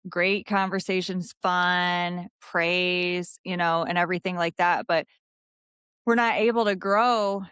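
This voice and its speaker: A woman's voice: on the low side, fairly even intonation, takes it slowly, a bit bright, clean tone, no room sound. A middle-aged woman hosting an interview, talking earnestly and encouragingly in long rambling sentences. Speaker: host and coach. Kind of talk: casual interview chat.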